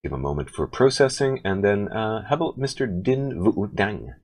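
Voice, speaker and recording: A man with a low, deep bass voice teaches in a conversational way, speaking deliberately and encouragingly as he thinks aloud. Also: sing-song, a little mellow.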